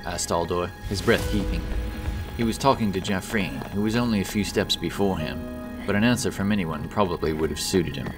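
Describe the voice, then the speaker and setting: A man's voice: deep voice, a little fast, recorded from a distance. A man giving a dramatic scripted narration of a fantasy story. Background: loud epic background music.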